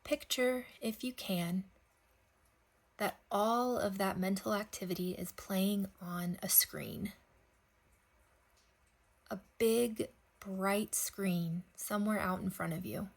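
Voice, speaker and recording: Female meditation guide for bedtime practice: medium pitch, very slow delivery, gentle and spacious phrasing. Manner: gentle, unhurried phrasing with long pauses.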